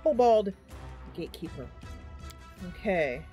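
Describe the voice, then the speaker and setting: A woman hosting a casual game livestream, chatty and animated, talking through her play and reading bits of story aloud. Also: medium pitch, slow, soft background music. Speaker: streamer and host playing a fantasy role-playing game. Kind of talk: casual livestream gameplay commentary with narration read aloud.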